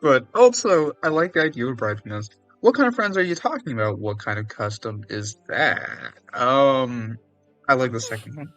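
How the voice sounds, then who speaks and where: A man hosts a gaming video with casual, amused commentary. His voice is mid-pitched and he thinks aloud as he plays, with faint music behind him. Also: big pitch swings, normal speed, a bit bright.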